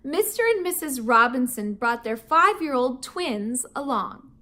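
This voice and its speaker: A woman teacher reads a children's novel aloud in an unhurried, expressive way. Her voice is high and bright, and her pitch swings widely as she tells the story. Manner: expressive, animated storytelling with laughs and gasps.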